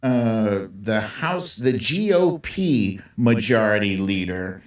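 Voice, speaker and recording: A man's voice: fairly low pitch, expressive, slow. Guy doing mocking slangy political commentary on a stream, takes his time, roomy sound a bit off the mic. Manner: mocking, profane, colloquial. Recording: roomy echo, distant from the mic.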